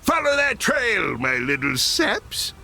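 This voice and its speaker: Gravelly, greedy old crab. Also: male voice, high voice, huge pitch swings, slow pace, over music, exaggerated voice. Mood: sly. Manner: blustering, sly, salty. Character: greedy old crusty cartoon crab, scheming sailor. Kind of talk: animated video game voice acting.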